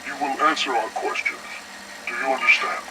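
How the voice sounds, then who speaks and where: A man playing a calmly menacing facility director interrogating a captive in a game audio log, with measured, condescending delivery. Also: mid-range voice, very noisy, lightly acted. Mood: stern, pressing. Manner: measured, condescending, veiled threats.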